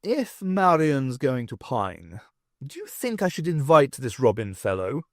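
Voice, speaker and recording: A man doing a booming, jolly fairytale king. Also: big pitch swings, unhurried, no echo, clean studio sound. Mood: delighted. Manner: booming, genial, self-satisfied. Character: jovial pompous fairytale king, older man. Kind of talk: fan audiobook character voice.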